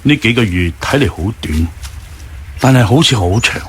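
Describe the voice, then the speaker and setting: A man acting an elderly, weary and tender boss in a radio drama, speaking gently and reflectively. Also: mid-range voice, lively intonation, moderate pace, slight background noise, lightly acted. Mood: warm, affectionate. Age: older. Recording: background music under the voice.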